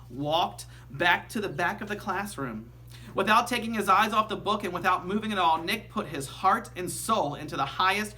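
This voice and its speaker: A man reading a children's story aloud like a teacher, with animated, emphatic storytelling. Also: high voice.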